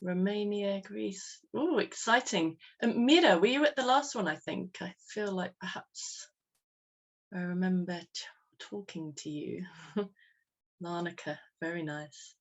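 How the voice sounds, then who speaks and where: A woman's voice: lowish voice, a little slow. A woman from England, hosting an online meeting in a chatty, friendly way, laughing often. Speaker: webinar host. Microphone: video call.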